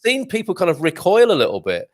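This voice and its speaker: A man's voice: high voice, huge pitch swings, quick pace, no echo, studio quality. A man hosting a casual interview, friendly, reading out viewer comments and laughing easily. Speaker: host.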